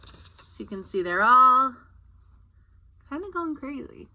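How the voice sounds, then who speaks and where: Bright, animated woman reading a picture book. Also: high-pitched, slow, highs cut off. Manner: expressive, acting out short character quotes. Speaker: read-aloud storyteller. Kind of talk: animated picture book read-aloud with informal asides.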